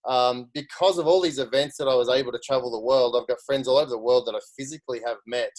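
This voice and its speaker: Australian man, middle-aged, casual guest speaker, restarts a lot, average pitch. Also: fairly even intonation, fast, darkish tone, rough recording. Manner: chatty, restarts often. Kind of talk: casual career story.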